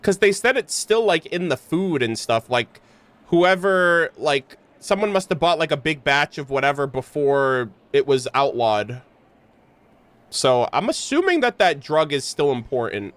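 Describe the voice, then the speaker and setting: A male streamer reacting casually to a game. His voice is bright and slightly high for a man, with animated pitch swings and a joking, slangy delivery. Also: unhurried, no room sound.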